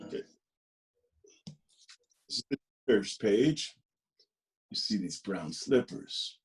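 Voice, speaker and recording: A man reading a children's picture book aloud expressively, with gentle emphasis and friendly asides to the listener. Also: fairly low pitch, animated, slow pace, low-quality recording. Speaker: storybook reader.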